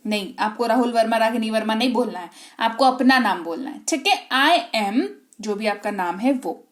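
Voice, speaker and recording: Young Indian woman teacher, fairly high voice, deliberate and emphatic. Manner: slow, emphatic, checks understanding often. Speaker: English teacher. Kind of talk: spoken English lesson.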